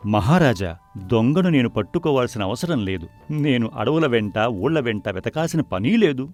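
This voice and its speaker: A man playing a clever, confident court servant in a cartoon story, speaking dramatically and deliberately. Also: mid-range voice, close to an everyday voice. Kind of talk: animated cartoon story dialogue.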